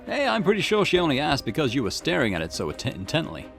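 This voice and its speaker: A man's voice: a little high, huge pitch swings, fast talker, gravelly, a bit bright, faint music behind, pitched above the performer's own voice, full character voice. A man voicing a friendly, easygoing young male police officer.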